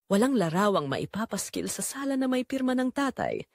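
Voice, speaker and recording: Dry-sounding woman narrating with lively pitch. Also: moderate pace, dry recording. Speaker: narrator of audio drama. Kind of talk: first-person dramatic story narration.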